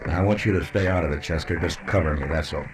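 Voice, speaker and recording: A hard-nosed frontier lawman with a very low American voice. He talks in terse, challenging phrases with steady authority, in the manner of a classic radio western. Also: fast, music in the background. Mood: grim, resolute. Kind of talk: old-time radio western acting.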